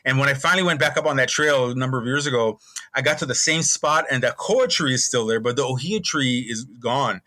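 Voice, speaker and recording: Fast-talking, bright-voiced man in his sixties. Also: mid-range voice. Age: 60s. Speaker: podcast guest, botanical curator and hula dancer. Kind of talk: conversational storytelling in an interview.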